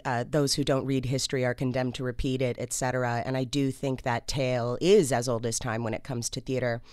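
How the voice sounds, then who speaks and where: A woman's voice: a bass voice, smooth, no echo, clean studio sound. A woman, a stage actor, giving earnest, considered answers in an interview. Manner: earnest, declarative.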